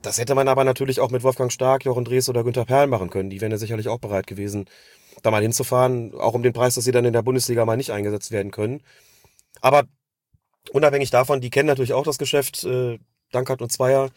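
A man's voice: mid-range voice, level tone, fast talker, darkish tone, no echo, studio quality. A man with a slightly congested voice from a cold, giving casual, wordy, considered football commentary on a podcast. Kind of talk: casual analytical podcast talk.

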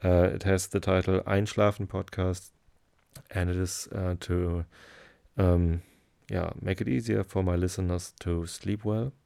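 A German-speaking man with a German accent, hosting a sleep podcast and reading aloud in a low, soothing, hesitant way. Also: vocal fry, clean tone. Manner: hesitant, many fillers, slow calm delivery.

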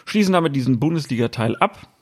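A man's voice: medium pitch, expressive, fast, darkish tone, husky. A male podcast host leading a casual chat with a jokey, teasing manner.

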